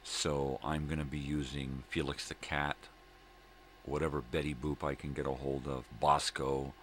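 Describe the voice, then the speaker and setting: A middle-aged man with a gravelly voice, rambling casually and folksily as he hosts a morning vlog, often repeating himself. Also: level tone, normal speed. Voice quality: gravelly, very deep. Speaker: host of a daily vlog. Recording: clear background room noise.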